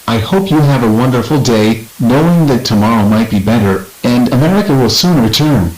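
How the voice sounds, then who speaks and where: A man playing a regretful American President, giving a formal, measured address to the nation in an outbreak drama. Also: mid-range voice, lively intonation, darkish tone, close to an everyday voice, low-quality recording. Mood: solemn, regretful. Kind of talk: formal scripted televised address.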